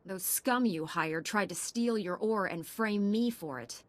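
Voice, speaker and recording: A woman's voice: mid-range voice, measured pace, dry recording, natural voice. An actress playing a resolute, earnest young female space knight in a video game.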